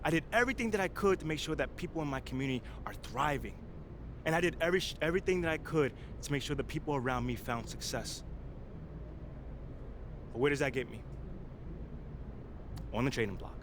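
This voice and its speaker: A man's voice: fairly high pitch, expressive, a little fast, long pauses, noisy background, close to an everyday voice. A man playing a driven, proud young American pro basketball player in a game cutscene, speaking earnestly and colloquially with emphasis. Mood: measured, wounded. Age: young adult. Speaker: video game actor playing the lead character. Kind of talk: naturalistic cutscene acting, impassioned speech.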